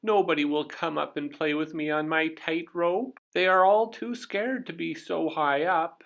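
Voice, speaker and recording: A man's voice: fairly high pitch, unhurried, gravelly, fairly bright tone, muffled recording, pitched above the performer's own voice. A man voicing a sweet, lonely little female storybook acrobat, plaintive and pleading. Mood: sobbing, pleading.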